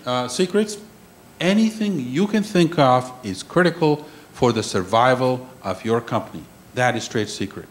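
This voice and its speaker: Male panel speaker, emphatic lecturing delivery, mid-range voice. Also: medium pitch. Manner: emphatic, repeats key phrases, checks in with "okay?". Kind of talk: lecture on intellectual property. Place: conference room.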